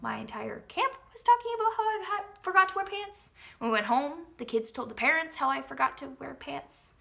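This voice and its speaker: A woman's voice: high-pitched, expressive, fairly quick, gravelly, pushed and bright. A young woman, telling a comedic personal story to an audience in a theatrical, emphatic way. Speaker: vlogger, storyteller. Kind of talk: dramatic comedic storytelling to camera.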